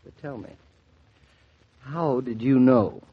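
An actor playing a teasing, good-humored young husband in a 1940s radio drama, with playful, mock-grand banter. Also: male voice, medium pitch, takes it slowly, breathy edge. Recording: muffled 1940s radio recording.

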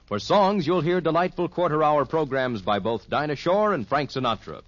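A man's voice: fairly high pitch, lively intonation, normal speed, dry recording. A man with an American accent making formal, polished announcements in vintage network radio style. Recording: dry, muffled vintage radio broadcast.

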